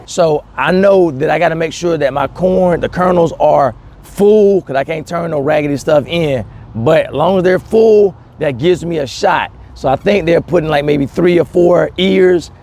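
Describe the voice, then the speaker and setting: A man talking casually and excitedly to viewers as a gardening host, emphatic and repeating key words, recorded outdoors. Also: a little high, normal speed, creaky, few pauses. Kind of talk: casual, enthusiastic talk to camera.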